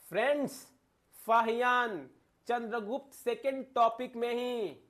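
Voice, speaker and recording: High-pitched man teaching emphatically. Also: slow, fairly bright tone. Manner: emphatic, repeats key phrases, often addresses listeners directly. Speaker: exam coaching teacher and video host. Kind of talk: informal lecture addressed to students, Hindi mixed with English terms.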